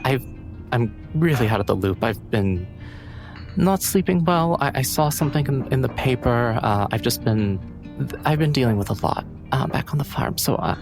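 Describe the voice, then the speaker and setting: A man voicing an anxious, tired farm woman in halting, stammering tabletop roleplay over music. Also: mid-range voice, lively intonation, lightly acted. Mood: nervous, worried. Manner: halting, stammering, repeats words. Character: anxious, sleepless farm woman living alone. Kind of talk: improvised in-character tabletop roleplay.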